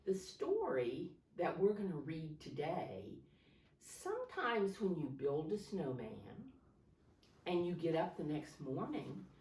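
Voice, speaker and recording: A woman's voice: deep voice, big pitch swings, takes it slowly, darkish tone. A woman leading storytime for young children, talking simply and instructively with questions and prompts as she reads a picture book aloud. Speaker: preschool teacher reading a picture book aloud. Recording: roomy echo, distant microphone.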